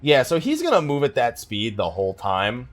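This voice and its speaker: A man's voice: medium pitch, wildly swooping pitch, normal speed, faint music behind. A man giving casual let's play commentary, rambling and thinking aloud as he plays. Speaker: gaming YouTuber hosting a let's play.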